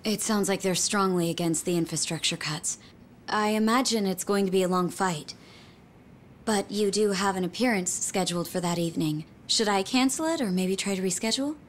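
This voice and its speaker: An actress playing a dutiful political aide in a video game, speaking in a formal, businesslike way. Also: female voice, mid-range voice, fairly quick, slight background noise, close to an everyday voice. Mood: polite, businesslike. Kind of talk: English video game voice acting.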